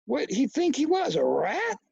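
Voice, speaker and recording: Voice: sits high, huge pitch swings, a little slow, creaky, a little mellow, no echo, lo-fi sound, voice pushed up, full character voice. A reader voicing a cocky, combative young boy from a novel, with brash, slangy, goading talk. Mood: mocking.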